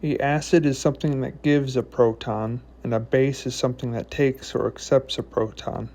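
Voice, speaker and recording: A man giving an informal explanatory lesson in a halting, deliberate way, with choppy phrasing. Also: medium pitch, steady tone, darkish tone. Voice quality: speech impediment, trips over long words. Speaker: educational video host reviewing math and chemistry. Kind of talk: informal explanatory lesson, part read from notes.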